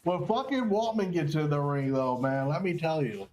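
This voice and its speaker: Slightly high-voiced man, profane casual commentary. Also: expressive, moderate pace, darkish tone, faint music behind. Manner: profane, slangy, enthusiastic. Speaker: segment host and match commentator. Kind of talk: casual wrestling watch-along commentary.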